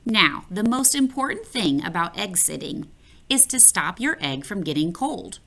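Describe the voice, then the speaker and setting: A woman reading a picture book aloud for children, playful and expressive, talking straight to the listener. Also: medium pitch, measured pace, gravelly. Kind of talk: read-aloud storytelling for children.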